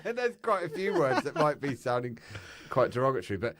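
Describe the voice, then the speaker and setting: A loud, exuberant and flamboyant man, the podcast's co-host, talking candidly in casual chat on a studio mic. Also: mid-range voice, very animated, fairly quick.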